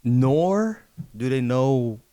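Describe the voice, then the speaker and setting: A man with a mid-range but very animated voice banters casually as a podcast co-host. He is slangy, cutting in and repeating his phrases. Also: medium pitch, drawn-out words.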